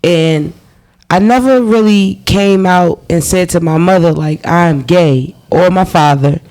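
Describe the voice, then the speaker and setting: A man's voice: high voice, measured pace, darkish tone. A man, telling his personal story on a podcast in a candid way, repeating phrases and swearing freely. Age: 30s. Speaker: podcast guest.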